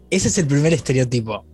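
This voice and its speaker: Measured man. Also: mid-range voice, animated, mellow, slightly rough, faint music behind. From Argentina. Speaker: podcast guest. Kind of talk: casual chat.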